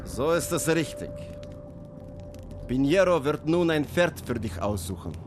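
A commanding Apache man of the radio play, gruff and sparing with words. His voice sits slightly high and moves widely in pitch as he instructs and tests. Faint outdoor noise surrounds him. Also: expressive, unhurried. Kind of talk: radio drama acting.

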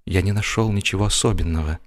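A man narrating a humorous first-person story in a theatrical, expressive audio-drama style. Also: low-pitched, quick pace. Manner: expressive, acting out comic hesitations and dialogue. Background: music.

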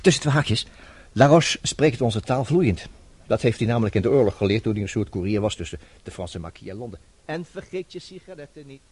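A man's voice: medium pitch, lively intonation, fairly quick, darkish tone, breathy edge. An actor playing a worldly, self-assured man who advises his troubled friend in a chatty, teasing radio drama style. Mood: brisk, wry.

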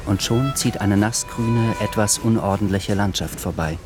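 An actor serving as a sober, observant narrator, reading literary scene descriptions in a radio drama. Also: male voice, lowish voice, normal speed, over music, lightly acted.

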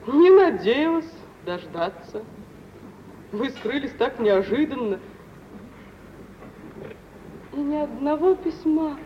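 Very high-voiced wounded young woman. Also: expressive, unhurried. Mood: guarded, sad. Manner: emotional, theatrical. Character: wounded, proud young woman, forsaken and desperate. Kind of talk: 1950s Russian radio theatre acting. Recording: muffled, noisy 1950s radio play recording.

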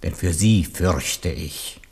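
A cautious royal counsellor in a 1970s radio drama. He speaks in a somewhat low voice with measured, warning insinuation. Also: darkish tone, slightly rough, heavily acted. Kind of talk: 1970s radio play acting.

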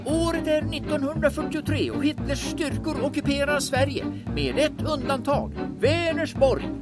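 A woman narrating in dramatic 1940s radio serial style, with a theatrical announcer's delivery. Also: high-pitched. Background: loud music.

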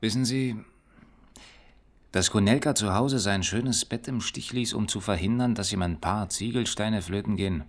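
Wry, low-pitched male detective played by an actor. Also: normal speed, natural voice. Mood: wry, persuasive. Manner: laconic, wry, colloquial. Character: dry, shrewd male police detective reporting to his chief. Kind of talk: German radio crime play dialogue.

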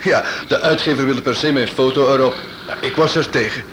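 An actor playing a witty, self-assured crime novelist in a radio drama, urbane and teasing. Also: male voice, medium pitch, brisk, very noisy, lightly acted. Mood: calm. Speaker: detective novelist. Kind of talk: Dutch radio drama acting.

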